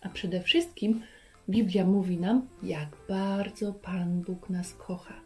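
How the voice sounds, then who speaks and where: A woman's voice: mid-range voice, fairly even intonation, slow pace, dark tone, faint music behind. A middle-aged woman hosting a children's Bible lesson, speaking directly and encouragingly to her young viewers. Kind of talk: presenting to children. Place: furnished living room indoors.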